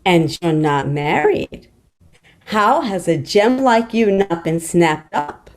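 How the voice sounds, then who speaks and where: Woman as a gushing matchmaker, slow and swooping. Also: on the low side, very animated, heavily acted. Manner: gushing, pushy. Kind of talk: screenplay table read acting.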